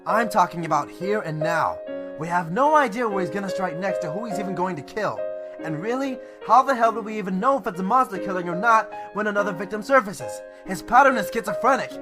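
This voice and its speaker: An actor playing a hot-tempered, frustrated police detective in an amateur radio drama. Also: male voice, sits high, expressive, brisk, over music. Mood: angry, frustrated. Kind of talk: amateur audio drama acting.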